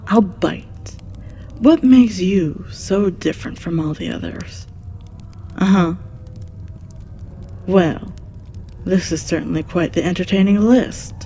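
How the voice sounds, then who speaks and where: A woman's voice: lowish voice, slow, pauses often, mellow, a little hiss or hum. A woman playing a sly, teasing devil in an audio roleplay, drawling with amused taunts as they bargain for a soul. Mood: taunting, amused.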